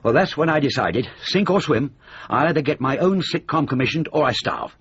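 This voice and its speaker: An actor playing a jaded ageing British comedy writer in a radio drama, wry, wordy and self-pitying, with a husky voice. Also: male voice, fairly high pitch, animated, fairly quick, fairly bright tone, dry recording, dull audio, exaggerated voice. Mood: wry, rueful.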